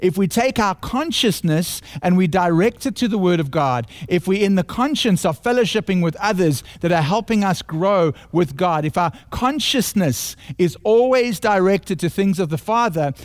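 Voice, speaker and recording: A man with a South African accent delivers a sermon, his voice sitting noticeably high for a man. He talks earnestly, circling back on phrases as he builds each point. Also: expressive, measured pace, hardly any pauses. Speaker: pastor.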